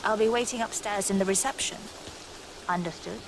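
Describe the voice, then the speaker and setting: A woman's voice: medium pitch, brisk, noisy background, natural voice. A woman playing a composed, businesslike assistant in a video game, polite but firm. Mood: politely firm. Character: composed, businesslike female assistant receiving guests. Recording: clear background music.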